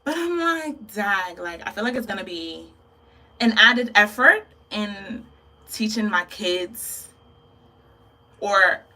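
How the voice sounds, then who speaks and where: A young woman from Ghana talks casually to her camera, thoughtful and laughing often. Her voice is bright and forceful, and she sits a little back from the mic. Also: medium pitch, lively intonation, slow, long pauses, far from the mic. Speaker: beauty vlogger.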